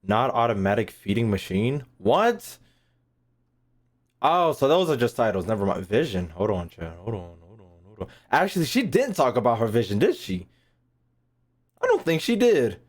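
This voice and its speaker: A man's voice: medium pitch, very animated, moderate pace. A man doing casual livestream commentary on a game, slangy and sweary, muttering short reactions. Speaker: streamer reacting to game lore.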